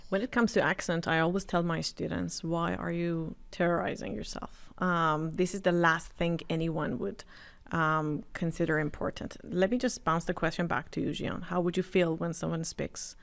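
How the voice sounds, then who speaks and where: A woman's voice: fairly low pitch, fairly even intonation, normal speed, vocal fry. A woman who teaches and joins a talk show, speaking directly and pushing questions back at the host. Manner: direct and challenging. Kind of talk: conversational discussion.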